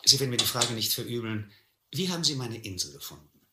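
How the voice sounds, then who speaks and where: Vain dangerous island lord, polite, self-important, hard bright tone, lowish, roomy radio play. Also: male voice, very animated, quick pace. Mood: brusque, probing. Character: vain, dangerous male island host with polished manners. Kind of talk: German radio adventure drama acting.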